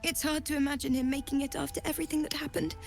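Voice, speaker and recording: A woman playing a frightened, grieving young refugee in a video game, tearful and halting. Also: high-pitched, level tone, faint music behind. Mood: fragile hope. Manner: halting, fragile. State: tearful, sighing. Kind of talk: dramatic video game acting.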